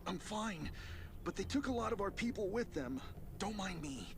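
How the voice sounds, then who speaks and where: Game townsman: selfless, shaken survivor, slightly high voice, noisy setting. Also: animated, normal speed. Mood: urgent concern. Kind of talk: video game dialogue.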